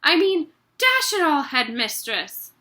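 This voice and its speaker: A woman's voice: sits high, very animated, slow, bright, forceful tone, voice pushed up, full character voice. A woman voicing a plump, nervous schoolboy who protests in bewilderment. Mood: puzzled protest.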